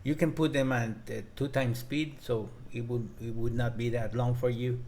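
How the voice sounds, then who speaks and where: A man's voice: mid-range voice, flat delivery, a little mellow, slight background noise. Plain-spoken man addressing viewers. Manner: plain, halting, informal. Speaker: channel host.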